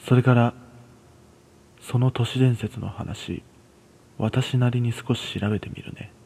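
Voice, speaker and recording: A man voicing a serious, cautioning young woman who senses spirits, in a hushed horror story. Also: mid-range voice, steady tone, takes it slowly, vocal fry, breathy edge, full character voice. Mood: concerned. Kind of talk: radio horror story dialogue.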